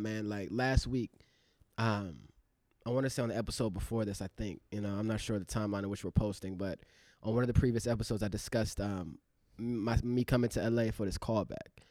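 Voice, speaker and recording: A man's voice: lowish voice, steady tone, brisk, a little mellow, no echo, clean studio sound. A man in his thirties, an actor co-hosting a podcast, talking in a loose, rambling way with many fillers. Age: 30s. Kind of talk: casual reflective chat.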